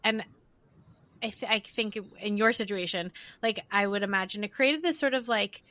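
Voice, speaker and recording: A woman co-hosting a casual conversation, talking quickly and thoughtfully. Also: mid-range voice, sharp tone, no room sound. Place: living abroad, at home.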